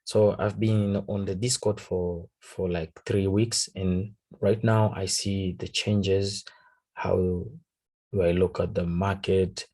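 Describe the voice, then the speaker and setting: A man with a Tanzanian accent, a native Swahili speaker, casually talking through a trade recap in halting English, searching for words. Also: low-pitched, level tone, warm tone. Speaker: forex trader. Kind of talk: casual trading recap over a chart.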